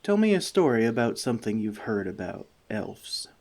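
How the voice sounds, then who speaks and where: A man playing a friendly forest elf in an ASMR roleplay, talking to the listener with dry, gentle teasing. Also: lowish voice, big pitch swings, normal speed. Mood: relaxed, open.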